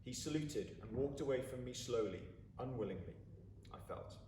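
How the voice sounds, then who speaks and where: A man reading a story aloud with expressive, deliberate, dramatic phrasing. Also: mid-range voice. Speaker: story reader. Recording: roomy, distant mic, faint background noise.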